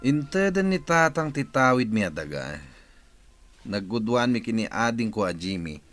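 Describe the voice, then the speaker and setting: An actor playing a brooding, covetous husband in a radio drama, musing and grumbling. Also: male voice, mid-range voice, very animated, moderate pace, a bit bright, faint music behind.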